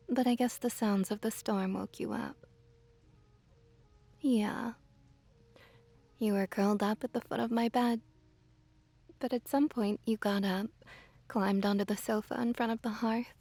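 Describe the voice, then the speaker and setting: Casting: woman as seductive female fox witch for ASMR roleplay, high mellow voice, doting yet possessive, teasing coos and soft whispers. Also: dry recording, heavily acted. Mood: soothing, doting. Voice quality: soft, breathy whispering at times. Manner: slow, teasing, cooing, gently dominant. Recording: background music behind close, dry voice.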